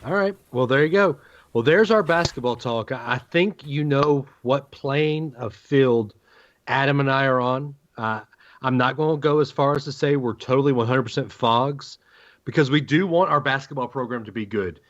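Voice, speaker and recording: A man's voice: medium pitch, normal speed. A man hosting a sports podcast with casual banter, moving the conversation along. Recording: dull lo-fi podcast audio.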